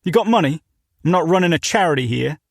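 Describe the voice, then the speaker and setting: A man doing a hostile British store owner with a rifle, barking his threats loud and high above the narrator's usual pitch. The voice has a gravelly edge and a dry, close sound. The manner is gruff and menacing, like an audiobook heavy. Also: animated, fast talker, no room sound. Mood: suspicious. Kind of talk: audiobook character voice.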